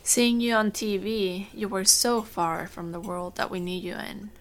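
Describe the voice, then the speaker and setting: A woman's voice: medium pitch, fairly even intonation, unhurried, natural voice. A woman playing a caring, strong-minded mother on a farm in an audio drama. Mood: proud.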